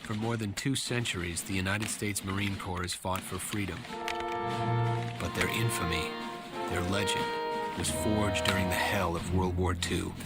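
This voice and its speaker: An actor playing a young US Marine who narrates in an earnest, measured, sincere way, as scripted war-game narration. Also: male voice, deep voice, fairly even intonation, loud music behind the voice, lightly acted. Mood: solemn. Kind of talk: scripted narration, letter home.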